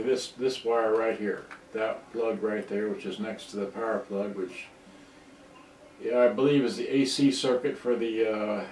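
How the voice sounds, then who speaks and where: A man with a lowish voice talks casually and haltingly, rambling as he explains things like a hobbyist repairer. He sounds set back from the microphone in an echoey room, with a faint layer of noise. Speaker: electronics repair hobbyist presenting. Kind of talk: casual off-the-cuff commentary over photos. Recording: roomy, distant mic, faint noise.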